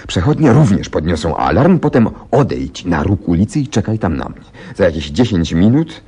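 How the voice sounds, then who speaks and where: An actor playing a shrewd, confident gentleman detective in a radio play, speaking in a deliberate, theatrical and self-assured manner. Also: deep voice, sing-song, husky, full character voice. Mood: secretive, instructing. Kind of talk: Polish radio play acting.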